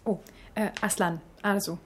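A woman's voice: mid-range voice, steady tone, unhurried, a little hiss or hum, lightly acted. A young woman playing a hesitant but dogged office intern in a radio drama, tentative and earnest. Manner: tentative, earnest, filler-laden. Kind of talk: radio drama dialogue and narration.

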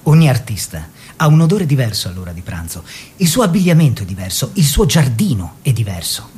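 Male actor as artist and mentor-lover: earnest, declamatory, measured, wide swoops from low to high, theatrical radio drama. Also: sing-song, darkish tone, a little hiss or hum, lightly acted. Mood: descriptive, lyrical. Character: earnest male painter, lover and teacher of his model.

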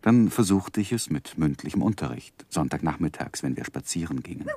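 An actor playing an earnest, tender gentleman who narrates his life in a gentle, reflective, expressive way in a 1960s German radio play. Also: extremely low pitch, fairly quick, slightly rough, no echo, heavily acted. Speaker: first-person narrator and lead.

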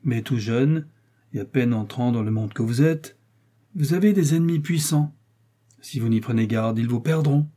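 A man reading an audiobook, voicing a powerful, scheming older cardinal who speaks in a smooth, persuasive, lofty way. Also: mid-range voice, moderate pace, mellow, natural voice.

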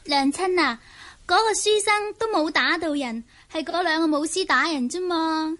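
A very high, childlike voice for an innocent little country girl in a radio play. She chatters eagerly and guilelessly, telling things as a child would. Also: moderate pace, a bit bright. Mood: amused, excited. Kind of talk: Cantonese radio drama acting.

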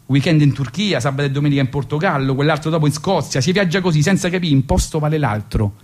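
A man's voice: a little high, fast. A man with a Roman accent delivering an ironic, colloquial spoken monologue as a radio storyteller, emphatic and fond of repetition. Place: radio studio.

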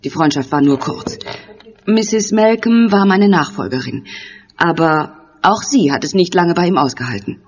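A woman's voice: fairly low pitch, lively intonation, moderate pace, slightly rough. Earnest, insistent middle-aged lady, muffled. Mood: earnest revelation. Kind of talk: radio play acting. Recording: muffled studio recording.

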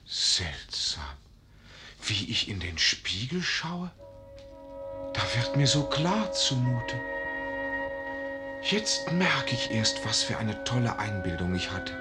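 An actor in a radio play voicing a polite, dreamy and excitable young man with a hesitant, wondering manner. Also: medium pitch, takes it slowly, pauses often, loud music behind the voice. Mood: dazed wonder. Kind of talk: German radio play acting.

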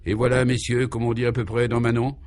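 A man's voice: mid-range voice, level tone, a little fast, darkish tone, slight background noise, lightly acted. Man acting as nostalgic courtesan, female figure, grand, genteel, self-important, comic radio serial. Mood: proud, dignified. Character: grand, nostalgic middle-aged courtesan, proud madam.

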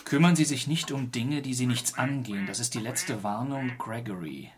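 An actor playing a composed, probing gentleman detective in a radio crime drama, measured and dryly polite. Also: medium pitch, close to an everyday voice. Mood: probing, skeptical.

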